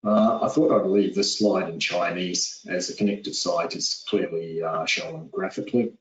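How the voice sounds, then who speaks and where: A man in his forties with a New Zealand accent, giving a steady, businesslike webinar presentation with frequent "uh"s over a call. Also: deep voice, measured pace. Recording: echoey, distant, dull lo-fi audio.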